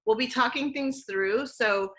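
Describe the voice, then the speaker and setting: Woman hosting some zoom event, bright clear-cut tone, kinda thinking out loud as she goes. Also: on the high side, a little slow. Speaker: event host from a photography foundation. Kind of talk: introducing an online event. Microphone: video call.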